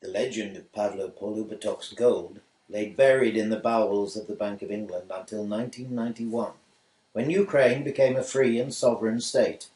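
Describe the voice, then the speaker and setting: A man giving measured, scripted narration for a radio play. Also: on the low side, fairly even intonation, a little mellow, natural voice. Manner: measured storytelling. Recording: roomy room echo.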